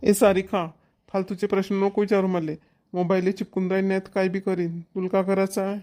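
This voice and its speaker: A performer plays a cartoon village boy who bickers and complains. The speech is very quick and mid-pitched, with a rural Vidarbha Marathi accent. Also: level tone, warm tone, close to an everyday voice. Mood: defensive. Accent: Maharashtrian, Vidarbha Marathi. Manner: complaining, argumentative. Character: whiny, argumentative boy, brother in village family. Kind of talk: cartoon family comedy dialogue.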